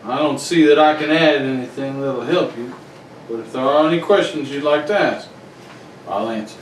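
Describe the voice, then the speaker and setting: A man's voice: medium pitch, normal speed, close to an everyday voice. A man playing an arrogant, hot-tempered wealthy tycoon in a radio mystery, blunt, imperious and threatening. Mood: guarded. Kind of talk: 1940s radio mystery acting. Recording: roomy, distant, faintly noisy recording.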